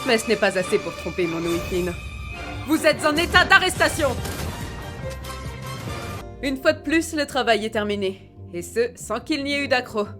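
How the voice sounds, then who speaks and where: A woman's voice: on the high side, natural voice. A woman voicing a stern, poised police captain in a game fan dub. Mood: calm, content. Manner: composed, authoritative.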